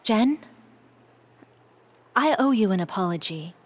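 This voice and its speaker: A woman's voice: medium pitch, expressive, takes it slowly. A woman playing an earnest young acolyte in a fantasy audio drama, with formal, careful wording. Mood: contrite, earnest.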